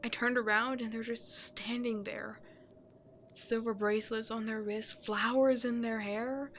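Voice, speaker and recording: A woman playing a remorseful, self-loathing cursed princess turned beast in an ASMR roleplay, halting and confessional, often hushed to near-whispers. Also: a little high, flat delivery, a little hiss or hum. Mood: bitter, regretful. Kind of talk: dramatic ASMR roleplay monologue to the listener.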